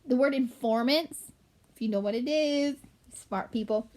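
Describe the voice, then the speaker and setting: A woman's voice: fairly high pitch, measured pace, darkish tone. A woman reading a children's book aloud, with explanatory asides for young listeners. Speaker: storybook reader.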